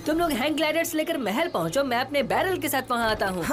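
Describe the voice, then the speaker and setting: A cheerful young cartoon boy, high-voiced and bouncing in pitch. He is a keen, energetic sidekick, with music clearly behind. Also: fast talker. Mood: urgent, keen. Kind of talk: dubbed cartoon dialogue.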